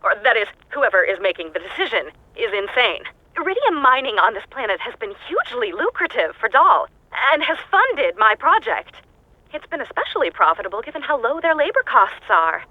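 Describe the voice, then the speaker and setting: A woman's voice: on the high side, sing-song, fairly quick, dry recording. Unhinged lonely woman scientist, game audio log, dry detached clipped delivery, manic edge, dull lo-fi sound, dead dry room. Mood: erratic. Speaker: scientist recording audio logs.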